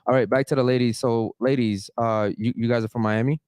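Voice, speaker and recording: A man hosting a casual podcast, giving shout-outs and interviewing guests. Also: mid-range voice, steady tone, a little fast, clean tone, no room sound. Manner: hypes the chat and sponsor, asks guests questions. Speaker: podcast host.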